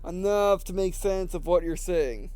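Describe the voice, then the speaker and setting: A man reading game text aloud and commenting casually as he plays, halting and stumbling over words, with some background noise. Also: high-pitched, a little mellow, smooth. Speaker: gameplay video host.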